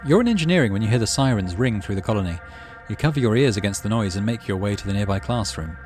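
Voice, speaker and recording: A man hosting a let's play, reading a story game aloud with expressive delivery and muttering asides about his moves. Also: on the low side, fast, faint music behind.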